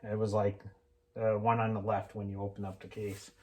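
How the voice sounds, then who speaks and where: A man explaining homemade tools in a casual, unscripted how-to style, informal and halting as he thinks aloud. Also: fairly low pitch, monotone, dark tone. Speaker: hobbyist mechanic presenting a homemade tool demonstration.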